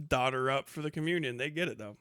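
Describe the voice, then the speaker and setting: A man's voice: mid-range voice, fast, fairly bright tone, clear, resonant tone, dry recording, studio quality. A man delivering a casual, ranting livestream monologue in a colloquial, rambling, sweary way. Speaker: livestream host.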